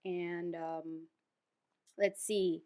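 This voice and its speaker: A woman's voice: fairly low pitch, level tone, very slow, warm tone. A woman of twenty-eight from Curaçao, a bubbly co-host chatting on a talk show. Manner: bubbly, eager. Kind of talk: casual group talk show chat.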